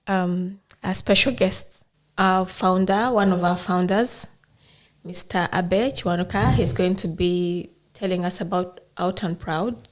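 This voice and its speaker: A woman hosting an online interview show, welcoming viewers and thanking her guest in a polite, formal way. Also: fairly low pitch. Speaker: host.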